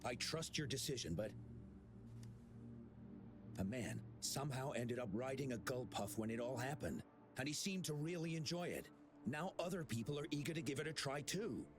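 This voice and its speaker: A man playing a polite, earnest young official in a video game, courteous and a little hesitant but expressive. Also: mid-range voice, normal speed, close to an everyday voice. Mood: earnest, apologetic. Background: game music.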